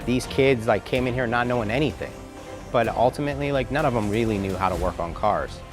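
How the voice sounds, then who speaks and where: A man's voice: medium pitch, brisk, over music. A man who founded a car academy, speaking in a casual interview with loose, filler-heavy talk. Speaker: academy founder and shop owner.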